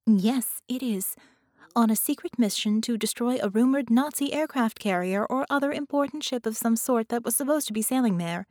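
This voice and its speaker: A woman's voice: fairly high pitch, normal speed, a little mellow, no echo, studio quality, voice pushed up, natural voice. Woman as a precise, formal computer intelligence. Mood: factual, measured. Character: helpful, precise artificial intelligence computer companion. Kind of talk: audiobook character voice.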